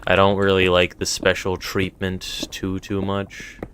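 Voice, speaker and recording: Casting: young male streamer, deep voice, strained, rambly chat to viewers, faint noise behind. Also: monotone, unhurried. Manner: rambling, reads chat aloud and answers it. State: voice feeling strained. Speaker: streamer running a game server. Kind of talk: casual live-stream chat.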